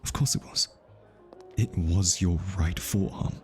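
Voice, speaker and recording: A man playing an easily flustered, defensive, lovestruck hitman in an intimate ASMR roleplay, stammering, sighing and slipping into breathy whispers. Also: low voice, unhurried, darkish tone, soft background music. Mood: tense, accusing.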